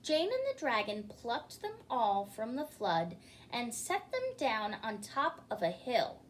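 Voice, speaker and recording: A woman reads a children's story aloud as a storytime host, theatrical and addressing her listeners directly. Her voice is high and swoops expressively, and she takes her time, with faint noise behind her. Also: huge pitch swings, takes it slowly.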